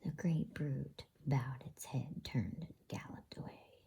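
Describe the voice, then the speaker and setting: A woman reading a bedtime story in a soft, unhurried ASMR whisper. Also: extremely low pitch. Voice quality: breathy whisper.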